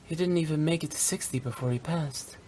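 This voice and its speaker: A woman's voice: sits low, brisk, mellow, slight background noise, close to an everyday voice. A woman playing a young female funeral director in a video game, playful yet blunt and unsentimental, speaking in a frank, matter-of-fact way. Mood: somber, reflective. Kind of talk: scripted English video game voice acting.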